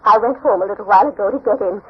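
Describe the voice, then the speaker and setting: A woman's voice: mid-range voice, very fast, lightly acted. An actress playing a sorrowful, anxious older grandmother in a 1940s radio drama, earnest and plaintive. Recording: muffled, noisy 1940s radio broadcast.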